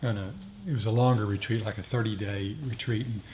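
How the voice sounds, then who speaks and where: Man, meditation leader, low voice, gentle instruction, informal, background noise. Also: steady tone, brisk. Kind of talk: informal group discussion and guided meditation. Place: indoor meditation group meeting. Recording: clearly noisy room recording.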